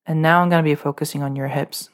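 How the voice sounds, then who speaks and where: A woman with a low voice leads a muscle relaxation meditation. She gives calm, unscripted instructions, with loose fillers and repeated cues. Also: quick pace. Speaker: meditation guide.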